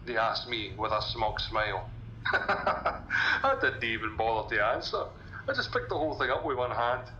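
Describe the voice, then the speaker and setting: A man's voice: mid-range voice, sing-song, normal speed, pushed and bright. A man playing a big, good-natured, boastful workman, chatting chummily on a game audio log. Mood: boastful, amused. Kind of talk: recorded audio log monologue. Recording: muffled, echoey, noisy audio log.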